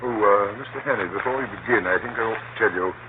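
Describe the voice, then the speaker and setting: An actor playing a hearty, shrewd senior official in a 1930s radio drama. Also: male voice, lowish voice, fast talker, natural voice. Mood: shrewd, businesslike. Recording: scratchy, tinny 1930s radio broadcast.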